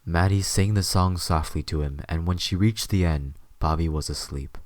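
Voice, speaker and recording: Deep-voiced male narrator, dry recording. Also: moderate pace, a little mellow, no room sound. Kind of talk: scripted story narration.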